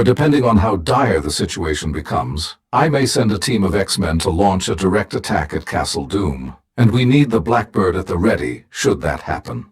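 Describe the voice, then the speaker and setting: A man playing a composed, authoritative superhero team leader, giving a formal, deliberate briefing in a video game. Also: lowish voice, husky.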